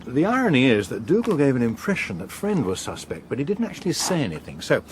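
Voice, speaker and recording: Suave English politician, lively intonation. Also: male voice, medium pitch, slight background noise. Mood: cool, knowing. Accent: upper-class English. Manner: dry, measured, ironic. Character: suave, unflappable veteran British politician. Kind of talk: radio drama acting.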